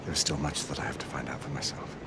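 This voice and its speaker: An actor playing a grave, commanding older knight in a video game, speaking in measured, terse orders. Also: male voice, a bass voice, fairly even intonation, vocal fry, heavy background noise. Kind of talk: video game cutscene acting.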